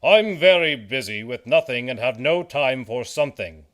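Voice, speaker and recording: A man voicing a busy, pompous, dismissive town mayor in a children's storybook, impatient and loudly declaiming. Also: mid-range voice, lively intonation, moderate pace, pitched above the performer's own voice, lightly acted.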